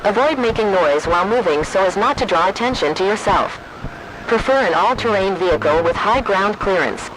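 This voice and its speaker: A man voicing an impersonal emergency alert system, reading formal, stilted official warnings over noisy broadcast audio. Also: sits high. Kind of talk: scripted emergency broadcast announcement.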